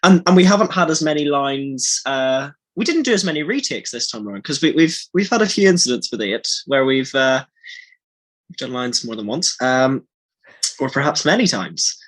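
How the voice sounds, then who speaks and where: A man's voice: medium pitch, expressive, moderate pace, a bit bright. A man chatting casually on a behind-the-scenes podcast, rambling effusively and often restarting his sentences. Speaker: audio drama lead actor.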